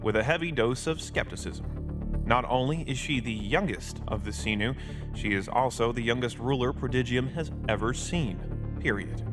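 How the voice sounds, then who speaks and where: A man's voice: mid-range voice, close to an everyday voice. A man playing a smug, irreverent news anchor in a video game parody newscast, with a polished but snide announcer delivery. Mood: slick, snide.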